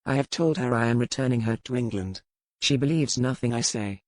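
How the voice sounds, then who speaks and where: A man's voice: medium pitch, fairly quick, no echo, natural voice. A man playing a guarded, impatient ship's captain, terse and formal, in a historical romance audiobook. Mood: annoyed, formal. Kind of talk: dramatised audiobook dialogue.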